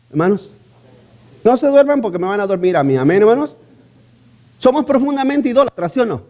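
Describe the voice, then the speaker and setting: High-voiced man preaching with rhetorical questions. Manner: emphatic, repeats key phrases. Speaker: pastor. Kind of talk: sermon.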